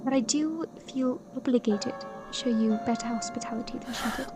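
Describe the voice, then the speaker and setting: A woman playing a kind, devoted young gentlewoman in a period audio drama, speaking with earnest, polite formality. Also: a little high, brisk, music in the background, lightly acted. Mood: apologetic, humble. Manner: polite, formal, earnest old-fashioned speech.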